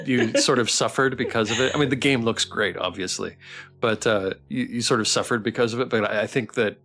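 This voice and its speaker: A man's voice: medium pitch, a little fast, a bit bright. A man hosting a casual livestream chat, encouraging and appreciative as he talks and reads out messages. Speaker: stream host. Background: background music.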